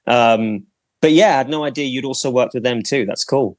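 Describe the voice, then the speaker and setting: A male podcast host with a middle-pitched voice keeps the talk friendly and chatty, putting questions to a guest and reacting warmly to the answers. He is recorded close and dry, with no room sound. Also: fairly quick, no echo. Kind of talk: casual podcast interview.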